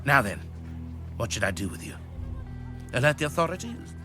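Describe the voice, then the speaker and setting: A man's voice: medium pitch, big pitch swings, moderate pace, music in the background, lightly acted. A man playing a gloating, menacing villain in an audio drama, taunting and theatrical, drawing out his words. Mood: menacing mockery. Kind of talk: musical audio drama acting.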